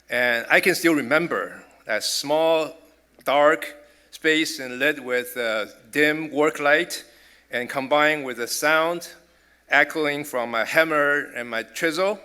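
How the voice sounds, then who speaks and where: A middle-aged man from Taiwan with a Taiwanese accent, delivering a formal prepared speech as a college president in a measured, earnest way. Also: medium pitch, sharp tone.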